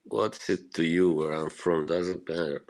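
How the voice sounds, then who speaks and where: A man from Bosnia talks to his stream chat in a loose, rambling way. He swears freely and fills his talk with "you know" and little laughs. His voice sits very low and he speaks at an unhurried, slow pace.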